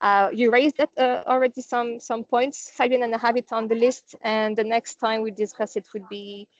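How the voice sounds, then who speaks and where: Female call presenter, non-native English, slightly high voice, informal and explanatory, very little room sound. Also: steady tone, normal speed, no room sound. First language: not English. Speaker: presenter leading a company conference call. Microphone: online conference call. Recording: dull, dry online call audio.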